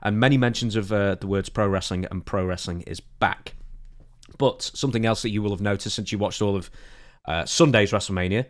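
Man, lower-pitched voice, podcast host, informal, opinionated, casual chat. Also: brisk.

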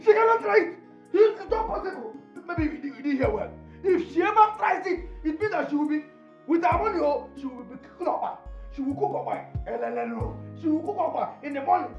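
An actor with a Nigerian accent playing an excitable, fickle friend in a comic film, exclaiming, repeating himself and stammering. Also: male voice, very high-pitched, fast talker, echoey room, lo-fi sound, faint music behind. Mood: outraged, frantic. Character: excitable, food-loving male friend, comically fickle peacemaker. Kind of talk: broad comic film acting.

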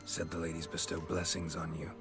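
A man playing a stoic wandering monster hunter in a fantasy game, clipped and deadpan. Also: deep voice, brisk, music in the background, natural voice. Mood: questioning. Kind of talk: fantasy video game acting.

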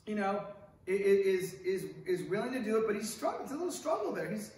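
A man's voice: high voice, fast. A middle-aged man from California preaching a conversational sermon at a lectern, gesturing widely and asking the congregation questions. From Hollywood, California. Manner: animated, wide hand gestures, asks the congregation questions. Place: church sanctuary with stone wall, at a lectern. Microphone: stand mic at the lectern, camera far off. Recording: very echoey sanctuary from far off.

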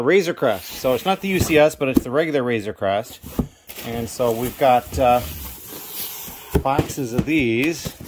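A man's voice: mid-range voice, background noise. A man talking casually to his camera as he unpacks boxes, thinking aloud with lots of fillers and restarts. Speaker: vlogger, toy brick reseller. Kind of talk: casual vlog narration. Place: cluttered basement storage room, indoors.

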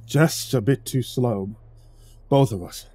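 A man voicing a calm, formal creature keeper who speaks in measured, unhurried sentences, in a storybook narration. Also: mid-range voice, breathy edge, no room sound. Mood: stern, dry.

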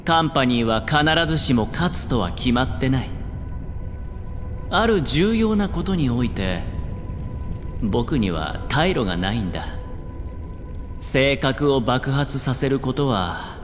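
Give the game a suave young male gambler, theatrical and secretly vulnerable. His pitch swings freely over slow, deliberate phrasing. The voice rings in a long reverb. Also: very animated. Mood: dramatic candour. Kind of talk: scripted anime game voice acting. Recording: long reverb under music. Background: background music.

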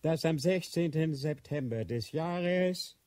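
An actor playing an aged, ailing Grand Inquisitor in a radio drama, solemn and halting, declaiming with remorse and fervor that rises to outcries. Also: male voice, on the high side, darkish tone, no echo. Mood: urgent dictation. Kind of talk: German radio drama acting.

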